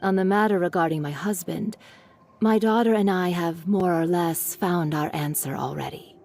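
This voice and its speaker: Low, creaky, gentle widowed mother. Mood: consoling. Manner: measured, consoling. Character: gentle, forgiving widowed mother, weary and reflective. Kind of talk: video game voice acting.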